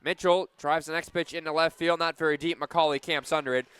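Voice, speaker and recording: High-pitched, clear male softball announcer. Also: dry recording. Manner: excited on big plays, measured between them. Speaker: radio play-by-play announcer. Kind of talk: live softball radio play-by-play. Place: live at a softball game.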